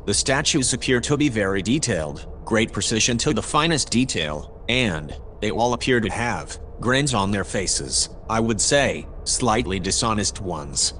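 An actor playing a polite, precise AI observer in an audio drama, speaking in a formal, measured way. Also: male voice, fairly low pitch, expressive, fairly bright tone, slight background noise. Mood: calm, formal. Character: polite, precise male AI observer aboard a spaceship.

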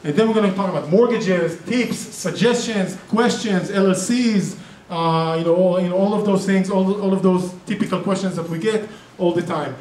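A man's voice: high-pitched, moderate pace, darkish tone. Israeli man presenting in an echoey room. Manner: chatty, self-interrupting, joking asides. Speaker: real estate investing presenter and group organizer. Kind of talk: live seminar presentation. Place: meeting room with projector. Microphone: far camera. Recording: roomy echo, distant camera, faint noise.

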